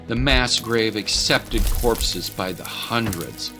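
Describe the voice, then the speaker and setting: A man's voice: fairly low pitch, slow, over music. A man narrating a scripted dramatic story as a voice-over.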